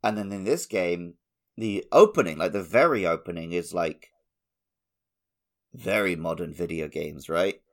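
A man with a fairly low voice talks as a podcast co-host. His delivery is casual and conversational, and he feels his way through sentences, pausing to hunt for the right word. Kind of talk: casual chat about a video game.

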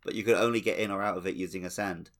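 Male gaming podcast co-host, low and creaky, chatty and jokey. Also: fairly even intonation, very fast, a bit bright. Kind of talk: casual chat about a video game.

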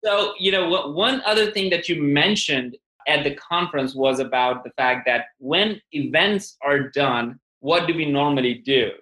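Man, interview host, sharp bright tone, emphatic, conversational, voices quotes, noticeably roomy echo. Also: on the high side, normal speed, few pauses. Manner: emphatic, acts out quoted remarks. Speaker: podcast host. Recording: echoey, reverberant room sound.

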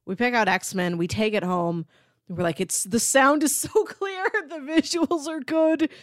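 A woman co-hosting a podcast, chatting casually and telling stories in an animated way. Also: on the high side, measured pace, no echo, clean studio sound. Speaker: podcast co-host.

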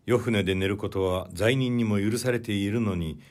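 A man reading classic literary fiction as an audiobook narrator, in a measured, deliberate way. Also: fairly low pitch, a little mellow.

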